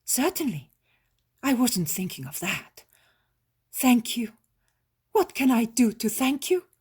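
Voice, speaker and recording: A woman voicing a thin, grey-haired old woman who speaks in high, wavering, breathy cries. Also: big pitch swings, slow, a little mellow, heavily acted. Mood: grudging. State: feverish and weak at first.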